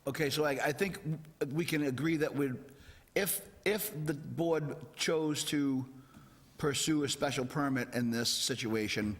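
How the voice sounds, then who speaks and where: A man's voice: medium pitch, measured pace. A man chairing a town zoning hearing, politely directing speakers and asking questions. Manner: polite, procedural, asks questions. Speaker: board chair. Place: town hall meeting room. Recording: reverberant hall.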